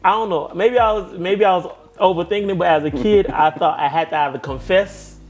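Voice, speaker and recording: A man with a Haitian-influenced accent, a tattoo artist talking casually as an interview guest, relaxed, with street slang and easy laughs. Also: high voice, a little fast. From second-generation Haitian family. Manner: laid-back street slang, laughs easily.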